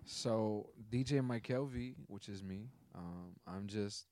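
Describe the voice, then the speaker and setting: A man's voice: lowish voice, takes it slowly, dark tone, dry recording. A man in his twenties from Brooklyn, a guest DJ chatting casually on a podcast in a laid-back, slangy way. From Brooklyn, New York. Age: 20s.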